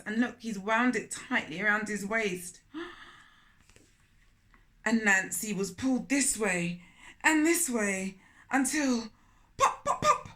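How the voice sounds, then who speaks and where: Bright, expressive woman storyteller. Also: mid-range voice. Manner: expressive, questioning, talks directly to young listeners. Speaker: storyteller reading a children's book aloud. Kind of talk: animated children's read-aloud with asides to listeners.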